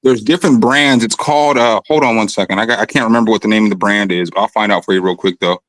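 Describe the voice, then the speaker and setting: A man with a raspy voice chatting casually and loosely from his home studio, full of slang and fillers. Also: lowish voice, fast, fairly bright tone, no echo, poor audio. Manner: laid-back, slangy, rambling with fillers. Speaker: guest musician on a video call. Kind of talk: casual chat. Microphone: condenser studio mic.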